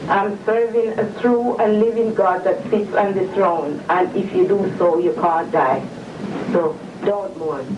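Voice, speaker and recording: Woman, fervent believer, firm delivery; distant, roomy archive audio. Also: mid-range voice. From England. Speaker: interviewee, church member. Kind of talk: earnest testimony on video. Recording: dull, echoey room from far off, noisy.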